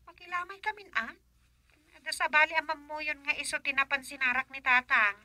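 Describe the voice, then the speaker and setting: Radio comedy role: chatty Filipino woman, actress, piercingly bright and high, recorded close and dry. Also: brisk, no room sound. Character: chatty young woman named Rosa on a call. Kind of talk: Ilocano radio comedy drama acting.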